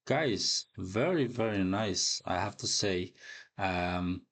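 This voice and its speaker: A man's voice: low-pitched, animated, slow pace, a bit bright, breathy edge. A man hosting a reaction video with casual, informal commentary, speaking directly to viewers and repeating words. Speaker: reaction video host, streamer.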